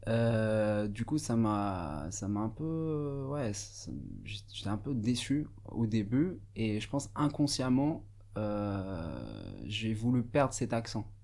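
A young man from Morocco whose first language is Arabic talks as a guest in a radio studio. His voice sits a little low. He speaks hesitantly and thoughtfully, full of pauses and restarts. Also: big pitch swings, darkish tone. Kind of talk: casual radio interview.

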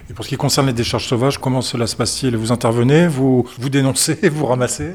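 A man's voice: medium pitch, steady tone, quick pace, darkish tone. A man interviewing a guest, asking brief prompting questions with a slightly teasing edge. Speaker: radio interviewer.

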